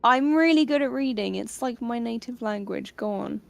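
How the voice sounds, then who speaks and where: Playful woman with a gravelly voice. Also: on the high side, normal speed. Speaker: player. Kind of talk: casual game chat.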